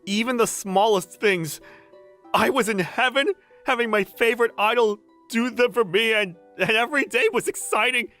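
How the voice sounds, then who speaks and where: A woman's voice: a little high, normal speed, close to an everyday voice. A woman voicing a flustered, lovestruck young working man who gushes and stammers in a manga dub. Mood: blissful. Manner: excitable, stammering, gushing. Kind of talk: manga dub voice acting with narration. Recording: music under the voice.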